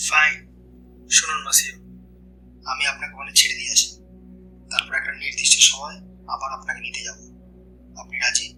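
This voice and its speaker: A man's voice: medium pitch, little pitch movement, brisk, pauses often, bright, forceful tone, poor audio. Cautious young male guide. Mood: concerned, conceding. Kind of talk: dramatized suspense audio-story dialogue. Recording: voice over background music.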